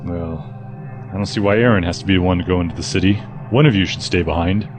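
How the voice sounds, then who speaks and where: A man's voice: low-pitched, quick pace, over music, natural voice. A man playing an anxious, grumbling supply worker with a hesitant manner in an audio drama. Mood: uneasy.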